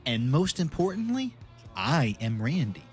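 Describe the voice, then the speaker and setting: A man's voice: medium pitch, very animated, faint music behind. Man acting a wildly swooping, cocky boy bully. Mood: smug, taunting. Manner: taunting, drawn-out, mock-polite. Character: cocky, menacing twelve-year-old neighborhood bully boy. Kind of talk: horror audio drama acting.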